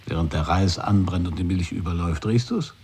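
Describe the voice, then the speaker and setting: A man's voice: low-pitched, fairly quick, a little mellow, slight background noise. An actor in a radio play, playing a skeptical man who questions his companion in a dry, probing way. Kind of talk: 1980s radio play acting.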